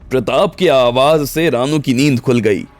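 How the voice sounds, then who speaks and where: Mid-pitched man narrating an audio story. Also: measured pace. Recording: music bed under the voice.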